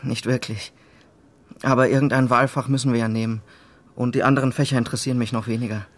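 Young guy as a nervous teen cadet, evasive and polite, middle pitch, hesitant, radio drama. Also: flat delivery, quick pace, lightly acted. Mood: guarded. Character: evasive, uneasy teenage male military cadet. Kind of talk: German radio drama acting.

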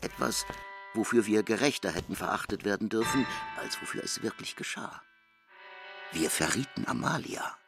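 Grave literary drama narrator, deep voice with wide-swinging intonation, soft music underneath. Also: male voice, animated, normal speed, vocal fry. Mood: grave, measured.

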